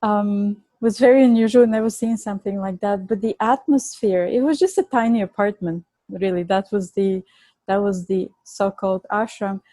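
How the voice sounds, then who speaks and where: Bulgarian accent lady, warm low-key tone, dry close sound, chatty and earnest. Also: fairly even intonation, moderate pace, no room sound. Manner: earnest, laughs easily, restarts words. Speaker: guest. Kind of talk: conversational storytelling.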